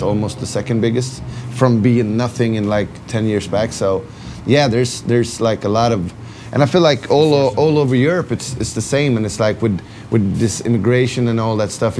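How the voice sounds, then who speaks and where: A man's voice: on the low side, darkish tone, a little hiss or hum. A man with a Swedish accent, a band member giving casual interview answers with many fillers and restarts. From Sweden.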